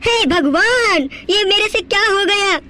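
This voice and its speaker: A man's voice: very high voice, expressive, measured pace, very noisy. A man voicing a self-pitying, heartbroken village chief in a comedy cartoon, wailing melodramatically in a rural Uttar Pradesh accent. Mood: wailing despair. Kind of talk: amateur comedy cartoon dialogue.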